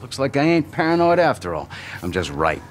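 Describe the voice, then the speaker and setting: An actor playing a cynical, gruff middle-aged American patriot in a video game, blunt and profane. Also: male voice, mid-range voice, very animated, brisk, a little hiss or hum, natural voice. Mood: wry, grim. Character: cynical, gruff patriot man, bitter and passionate. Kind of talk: video game cutscene acting.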